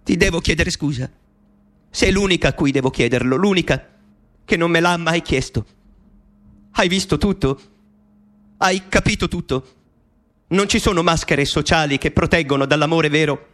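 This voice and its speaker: Italian actor doing a broken, persecuted math genius pleading with his mom, high voice, bitter and intimate, radio drama. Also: moderate pace, long pauses, a bit bright, natural voice. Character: anguished, persecuted male mathematician confessing to his mother.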